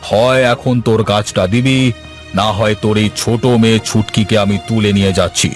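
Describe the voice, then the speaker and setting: A man's voice: on the low side, fairly even intonation, a little fast, faint music behind, lightly acted. A man voicing a wicked, greedy village moneylender with a menacing, mock-kindly manner in a cartoon fairy tale. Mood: smug menace.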